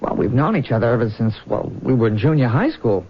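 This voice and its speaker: An actor playing an earnest young soldier in love in a radio drama, speaking haltingly and eagerly. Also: male voice, mid-range voice, expressive, husky. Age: young adult. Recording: muffled old radio broadcast.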